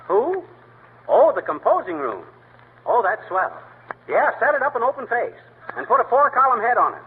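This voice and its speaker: A boastful, bumbling husband in a 1940s radio comedy, with a fairly high, bouncy voice. He talks brash and folksy, full of wisecracks. The sound is thin and tinny, like an old radio broadcast. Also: sing-song, normal speed, husky, heavily acted.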